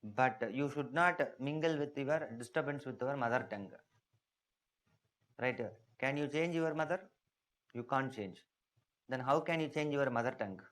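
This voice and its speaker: Indian English man teaching a history class, mid-pitched, emphatic, checks in with students constantly. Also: moderate pace, long pauses, a bit bright. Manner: emphatic, asks checking questions often. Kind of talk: classroom lecture.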